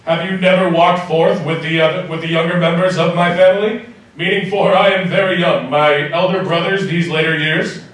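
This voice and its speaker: Booming jovial giant Christmas spirit, echoing. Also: male voice, flat delivery, normal speed, fairly bright tone. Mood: hearty. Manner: booming, declamatory stage projection. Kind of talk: live radio drama acting. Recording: very echoey hall, distant mic.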